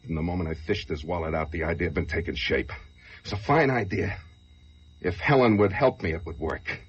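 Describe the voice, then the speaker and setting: Desperate fugitive on muffled old radio. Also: male voice, low-pitched, a little fast, slightly rough. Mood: brooding, tense. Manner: brooding, haunted, swinging into overwrought outbursts. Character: desperate fugitive man who killed a truck driver. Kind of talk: old-time radio crime drama, first-person narration. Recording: dull, noisy old radio recording.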